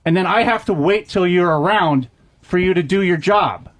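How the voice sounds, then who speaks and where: A man's voice: sits high, normal speed. A man in a heated workplace argument, speaking in a blunt, insistent and profane way. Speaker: radio show staffer.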